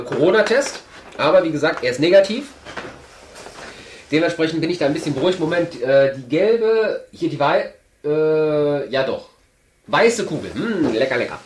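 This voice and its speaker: A man's voice: fairly high pitch, a little hiss or hum. Congested young man, rambling in a roomy room. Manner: rambling, hesitant, many fillers. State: stuffy nose, congested. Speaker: channel host. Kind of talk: casual chat while opening an advent calendar. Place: indoor room at a table. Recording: roomy, echoey room sound.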